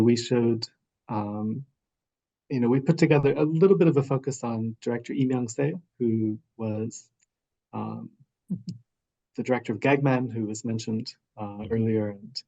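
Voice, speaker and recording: A man speaking as a festival programmer on an online panel, pausing often with ums and uhs. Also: medium pitch, warm tone, dull audio. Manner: hesitant, full of ums and uhs. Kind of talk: casual panel discussion over video call. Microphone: video call microphone.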